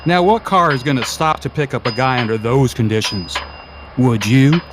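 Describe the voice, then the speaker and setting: A man's voice: mid-range voice, normal speed, noisy background, close to an everyday voice. A man playing a plain-spoken, chatty gas station attendant in an amateur radio play, with a folksy, colloquial manner. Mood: incredulous.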